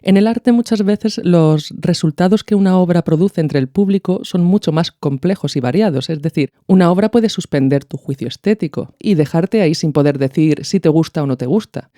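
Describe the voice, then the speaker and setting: Low, mellow-voiced Spanish woman, thoughtful. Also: normal speed, few pauses, no echo, clean studio sound. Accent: Peninsular Spanish. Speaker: podcast host. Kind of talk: reflective commentary with a literary reading.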